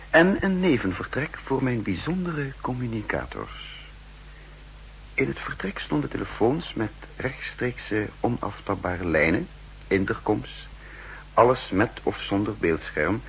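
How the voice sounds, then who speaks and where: Low, gravelly spy narrator. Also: male voice, a bit bright, heavily acted, poor audio. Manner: measured, formal, literary storytelling. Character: self-assured male spy posing as a counterintelligence chief, recounting his story. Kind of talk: 1960s Dutch radio drama narration. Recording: 1960s radio recording with hum and noise.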